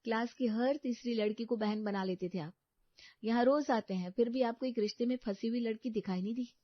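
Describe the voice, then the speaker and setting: A woman's voice: a little high, fairly quick, dry recording, pitched above the performer's own voice, natural voice. A woman voicing a fiery, protective young woman. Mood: reproachful. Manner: insistent, fervent. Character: outspoken young sister-in-law, determined and protective. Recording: dull lo-fi dry recording.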